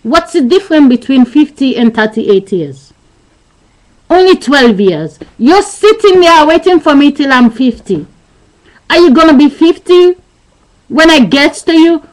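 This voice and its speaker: A woman in her thirties with a high voice speaks into a webcam mic. She lectures in an insistent, repetitive way, circling back over the same points. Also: very animated, measured pace, poor audio. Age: 30s. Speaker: vlogger. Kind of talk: rambling philosophical webcam monologue.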